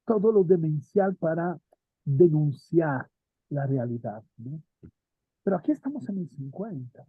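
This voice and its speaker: Husky-voiced male theater teacher, tinny line. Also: on the high side, animated, unhurried, no room sound. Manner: thinks aloud, asks students questions, frequent "¿no?". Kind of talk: lecture and class discussion.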